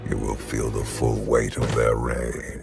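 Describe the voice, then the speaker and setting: An imperious, menacing emperor in a video game. The voice is extremely low and gravelly, delivered slowly with cold, pompous gravity over clear music. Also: male voice, monotone, full character voice. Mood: commanding. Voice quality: deep gravelly voice. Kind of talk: dramatic video game acting. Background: orchestral game music.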